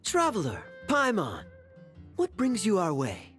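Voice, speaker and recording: A man playing a sly, teasing young cavalry officer in an anime game, smooth-talking and insinuating. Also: high-pitched, wildly swooping pitch, unhurried, a bit bright, heavily acted. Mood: wry, probing. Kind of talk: anime game story dialogue. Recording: music behind.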